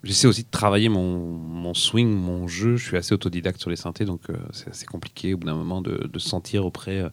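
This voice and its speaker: A man's voice: low voice, fairly even intonation, brisk, hardly any pauses, no room sound. A French-speaking man, a musician guest, chatting casually on a radio show and rambling with many restarts.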